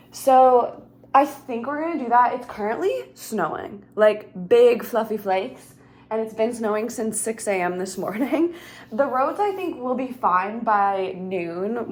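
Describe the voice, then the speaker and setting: A woman's voice: medium pitch, measured pace, darkish tone. A woman, chatting casually in a rambling vlog at home, full of "like" and "um". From Minnesota, USA. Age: 30s. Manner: rambling, chatty, heavy use of "like". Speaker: vlogger. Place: her home.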